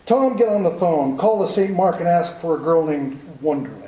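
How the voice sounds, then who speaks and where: A fairly high, mellow voice belongs to a tough lieutenant who keeps needling and accusing, played by a man. The recording sounds echoey, in the manner of 1940s radio drama. Each line comes out gruff and pressing. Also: expressive, moderate pace, close to an everyday voice. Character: tough, suspicious male police lieutenant.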